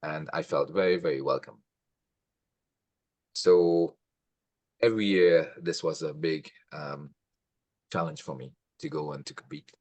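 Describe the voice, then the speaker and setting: A man in his fifties from Trinidad, a karate instructor reminiscing casually in a halting way as an interview guest. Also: extremely low pitch, fairly even intonation, darkish tone, no echo. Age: 50s.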